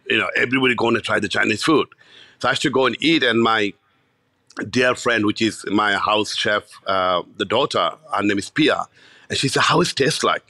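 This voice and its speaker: A Bangladeshi chef in his fifties, telling his story in an interview, animated and full of restarts. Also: lowish voice, no echo. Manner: animated, many restarts and repeats.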